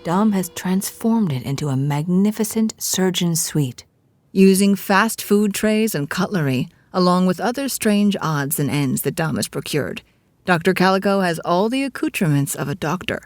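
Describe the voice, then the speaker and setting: A woman's voice: fairly low pitch, expressive, normal speed, a little mellow, no room sound. A woman narrating a scripted audio drama in a mock-grand storybook manner.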